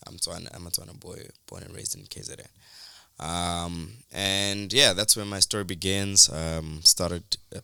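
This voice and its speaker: A young man from Durban chats through his story as a guest, loose and rambling, full of ums and restarts. His voice sits low with a gravelly edge but a bright, forward tone. The sound is close and dry. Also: no echo. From South Africa, Durban. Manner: relaxed, rambling with fillers and restarts. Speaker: musician guest. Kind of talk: casual interview storytelling.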